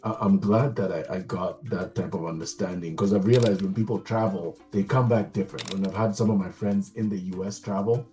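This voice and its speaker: Deep, mellow Kenyan man. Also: steady tone, a little fast, few pauses, faint music behind. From Nairobi, Kenya. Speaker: interview guest, community leader. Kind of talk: conversational interview answers.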